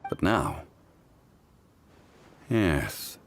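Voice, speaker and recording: A man playing an ancient, dignified god in human guise in a video game, speaking in a solemn, measured, formal way with wistful weight. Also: a bass voice, huge pitch swings, vocal fry, slight background noise, full character voice. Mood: wistful, reflective. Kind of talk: scripted video game character dialogue. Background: music.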